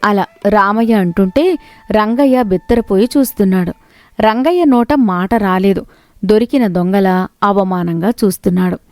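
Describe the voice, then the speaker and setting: Female narrator, scripted moral story, medium pitch, soft music underneath. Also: normal speed, no room sound.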